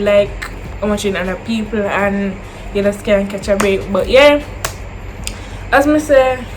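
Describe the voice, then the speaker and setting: A young woman of twenty with a Jamaican accent, talking through viewer questions in a relaxed vlog. Her voice sits at a middle pitch, and she drifts easily into Creole phrasing. A faint hiss of noise runs under her. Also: low-quality recording. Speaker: YouTuber answering viewer questions. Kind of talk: casual Q&A chat to camera.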